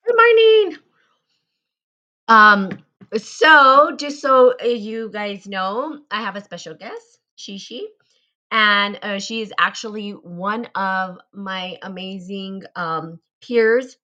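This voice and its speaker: A woman's voice: medium pitch, animated, takes it slowly, sharp tone, highs cut off. A woman hosting a casual live talk show, chatty and full of fillers. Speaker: host.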